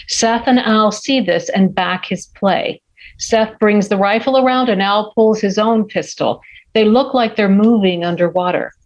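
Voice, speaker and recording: A woman with a medium-pitched voice narrates a screenplay. She reads scripted scene descriptions and stage directions as the narrator of a table read. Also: poor audio.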